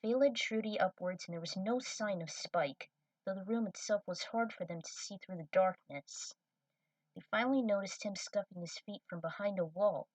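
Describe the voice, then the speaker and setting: Female narrator, scripted story reading, mid-range pitch, dry, slightly distant microphone. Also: dry recording.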